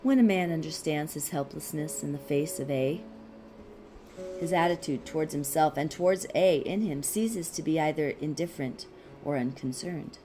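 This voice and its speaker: A woman reading a philosophical text aloud as scripted narration, in a measured, deliberate way with pauses. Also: low voice, dark tone, faint music behind, poor audio. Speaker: audiobook reader.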